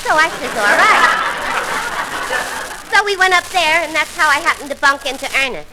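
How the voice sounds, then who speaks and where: A woman's voice: high-pitched, lively intonation, moderate pace, over a noisy crowd. An actress in 1940s radio comedy playing a dim, chatty working-class young New York woman who rambles on literally. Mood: rambling, clueless. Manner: rambling, literal-minded, ungrammatical. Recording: noisy vintage 1940s radio broadcast.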